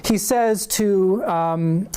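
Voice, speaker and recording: A man giving a university lecture, reading a prepared paper in a measured, deliberate way with occasional fillers. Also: high voice, warm tone, clear, resonant tone. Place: university seminar room.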